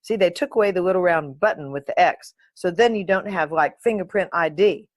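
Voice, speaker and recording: A woman hosting her own podcast, talking in a playful, animated and uninhibited way, close to the mic. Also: lowish voice, a little fast, no echo. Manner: playful, animated, sings and makes silly sounds; uninhibited, swears. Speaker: podcast host. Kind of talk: solo podcast chat.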